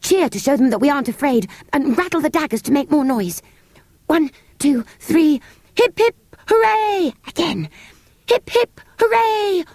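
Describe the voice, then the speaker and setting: A woman's voice: high-pitched, animated, a little slow, a little mellow, no room sound. A woman voicing a bold young boy who gives orders while defending a castle. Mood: excited. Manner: eager, commanding.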